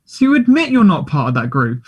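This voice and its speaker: Man playing a higher-voiced, earnest teenage boy. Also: wildly swooping pitch, fairly quick, darkish tone, slightly rough, exaggerated voice. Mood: playful, teasing. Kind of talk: play table read, naturalistic dialogue.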